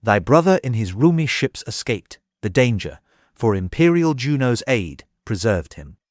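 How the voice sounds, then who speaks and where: A man's voice: medium pitch, big pitch swings, moderate pace, dry recording, clean studio sound, close to an everyday voice. A man playing an aged, reluctant sea god and seer in an audio drama, speaking in grave, archaic declamation. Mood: grave, foreboding. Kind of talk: dramatized epic audiobook acting.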